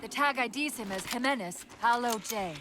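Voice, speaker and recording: A woman playing a sharp, alert AI companion in a video game cutscene, reporting crisply over loud music and effects. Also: a little high, normal speed, background noise, close to an everyday voice. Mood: wary.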